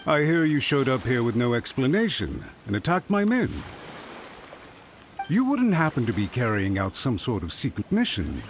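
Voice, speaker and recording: An actor playing a smug, confrontational rival official in an anime-style game, mock-polite and insinuating. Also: male voice, medium pitch, huge pitch swings, normal speed, a little hiss or hum. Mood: smug suspicion.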